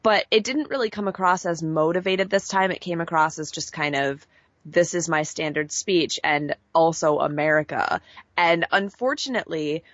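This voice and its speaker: A woman's voice: sits low, normal speed, no room sound. Woman chatting candidly, slightly dull lo-fi sound. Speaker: podcast co-host reviewing wrestling. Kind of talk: casual chat, opinionated commentary.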